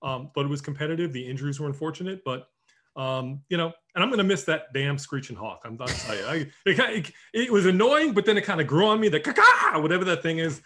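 A man's voice: a little high, sing-song, bright, forceful tone. A man co-hosting a sports podcast, talking casually and often restarting his sentences. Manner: halting, many restarts. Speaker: podcast co-host.

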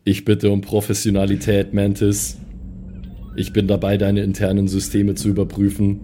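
A man's voice: lowish voice, completely flat delivery, moderate pace, warm tone, soft background music, natural voice. A man voicing a stern, matter-of-fact killer robot with a commanding, formal manner.

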